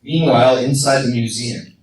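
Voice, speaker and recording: A man's voice: medium pitch, fairly even intonation, moderate pace, lightly acted. A man reading scripted scene-setting narration for a live radio play. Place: live convention stage, audience recording. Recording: roomy distant noisy live fan recording.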